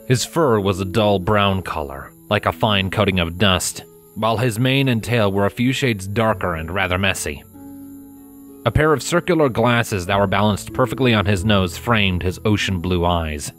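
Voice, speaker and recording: A man's voice: sits low, fairly bright tone. A man narrating a scripted story in a dramatic audiobook style.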